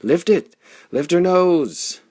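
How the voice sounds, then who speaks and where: A man voicing a bold, urgent warrior hero of pulp space adventure, commanding and raspy. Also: fairly high pitch, expressive, takes it slowly, a little mellow, pitched above the performer's own voice, exaggerated voice. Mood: shouting, urgent.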